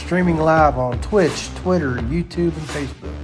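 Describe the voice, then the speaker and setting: A woman's voice: low-pitched, moderate pace. A woman reading a scripted show introduction as an announcer. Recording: music under voice.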